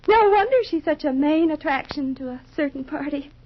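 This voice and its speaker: A woman's voice: high voice, animated, normal speed, slight background noise. A woman playing a nosy, chattering, easily offended married sister in a 1950s radio soap opera, folksy and fussy. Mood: coy, prying. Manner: gushing, folksy, ungrammatical, fussy. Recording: muffled vintage radio recording.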